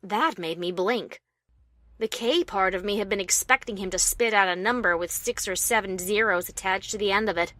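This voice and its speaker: A woman playing a wry, defiant adventurer heroine, narrating her story in the first person with expressive, dramatic delivery. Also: medium pitch, normal speed, dry recording, close to an everyday voice. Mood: tense storytelling.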